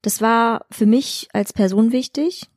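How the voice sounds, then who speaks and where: A woman's voice: on the high side, measured pace, a little mellow, no echo, studio quality. A young woman, an actress and kung fu trainer, talking frankly and pragmatically as a podcast guest. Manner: frank, pragmatic, laughs often. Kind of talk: candid podcast conversation.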